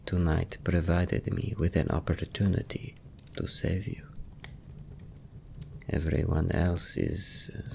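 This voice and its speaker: Man acting a low, gravelly vampire nobleman. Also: a little hiss or hum, full character voice. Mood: grave, hushed. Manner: formal, archaic, softly spoken. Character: gentle, sorrowful young vampire nobleman, secretly merciful. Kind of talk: ASMR fantasy roleplay.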